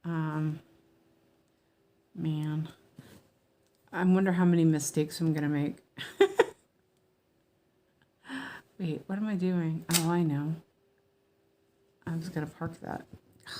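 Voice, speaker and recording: A woman's voice: low voice, steady tone, slow, long pauses, dark tone, a little hiss or hum. An American woman chatting in a rambling, meandering way with her viewers as she stitches during a casual livestream.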